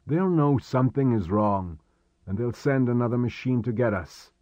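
A man voicing a steady, commanding adventurer in an audiobook, calm, measured and terse. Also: mid-range voice, dry recording, highs cut off, natural voice. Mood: calm, firm. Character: steady, commanding male adventurer calming companions. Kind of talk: audiobook character dialogue.

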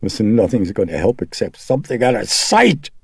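A man voicing a distraught, despairing mother with a husky, quavering voice in an audiobook story. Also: sits low, very animated, dark tone, no echo, clean studio sound, pitched above the performer's own voice, full character voice. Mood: angry, loud. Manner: emotional, haltingly tearful, erupting into shrieks. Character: distraught, despairing, furious mother of a troubled child.

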